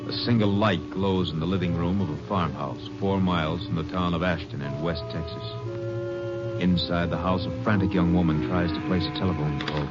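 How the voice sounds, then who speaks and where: A low-pitched man narrates a vintage radio drama in a scripted, dramatic style. Loud music sits behind him on a muffled old recording. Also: steady tone, a little fast.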